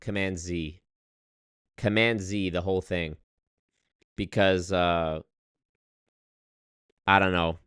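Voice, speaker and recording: A man telling a story on his livestream in an animated, exasperated way, swearing often and reading chat. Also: low-pitched, slow pace, long pauses, clean tone, no room sound, studio quality. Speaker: streamer. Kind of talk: casual livestream rant.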